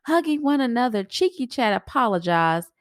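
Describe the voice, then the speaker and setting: A woman's voice: a little high, big pitch swings, normal speed, dry recording, clean studio sound. A woman reading a children's storybook aloud expressively, explaining things to young listeners like a teacher. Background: background music.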